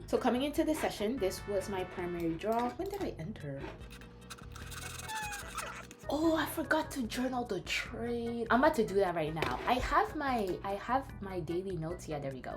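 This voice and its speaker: Young woman, French-born, slight French accent; energetic, scattered trading vlogger over music. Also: mid-range voice. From France. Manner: bubbly, scattered, switches into French phrases. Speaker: day trader. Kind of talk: casual vlog, screen walkthrough. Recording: background music.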